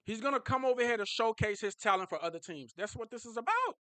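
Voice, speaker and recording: Animated young man ranting about football. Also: extremely high pitch, quick pace, clear, resonant tone, no echo. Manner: animated, repetitive, laughing, emphatic. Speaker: sports fan vlogger. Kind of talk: casual fan reaction rant.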